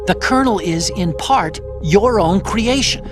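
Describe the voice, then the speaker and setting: A man's voice: high voice, expressive, a little slow. A man playing an earnest, brainy tech expert in a video game drama, explaining things precisely. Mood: grave, explanatory. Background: music.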